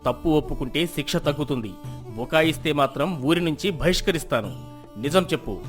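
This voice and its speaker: A man playing a stern, just village landlord who judges in a measured, commanding, formal way in a folk tale. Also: on the high side, animated, close to an everyday voice. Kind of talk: dramatized Telugu folk moral tale. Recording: music behind the voice.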